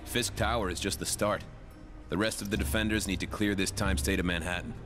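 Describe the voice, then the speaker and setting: An actor playing a grim, serious vigilante superhero in a video game, terse and businesslike. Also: male voice, low-pitched, steady tone, fairly quick, over music, lightly acted. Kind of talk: video game cutscene acting.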